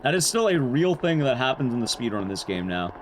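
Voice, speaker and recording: Animated man rambling through a game stream. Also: medium pitch, fast, slight background noise, poor audio. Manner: rambling, chatty, jokey asides. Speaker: streamer speedrunning a horror game. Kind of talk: casual live game commentary.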